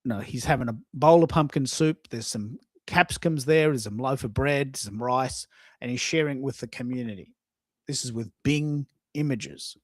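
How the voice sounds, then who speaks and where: A man hosting a live online audio show, chatting casually and thinking aloud in a halting way, often repeating himself as he addresses listeners. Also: mid-range voice, dry recording, clean studio sound.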